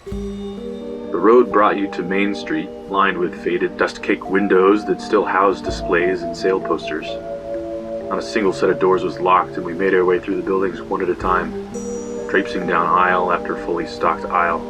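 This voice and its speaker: An actor playing a young slacker who narrates a grim trespassing tale in a casual, profane, matter-of-fact way. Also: male voice, low voice, level tone, moderate pace, close to an everyday voice. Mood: brooding, hushed. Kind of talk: first-person audio drama narration. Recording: music bed behind the voice.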